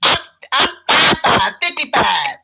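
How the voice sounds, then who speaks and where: A woman in her fifties hosting a casual video call chat, animated and given to exclaiming. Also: sits high, unhurried, vocal fry, very noisy. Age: 50s. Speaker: host.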